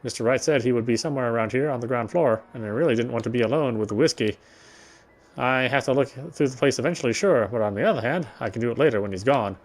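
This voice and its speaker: A man reading visual novel narration aloud as he plays, often halting and correcting himself. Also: medium pitch, faint music behind. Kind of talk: read-aloud game narration.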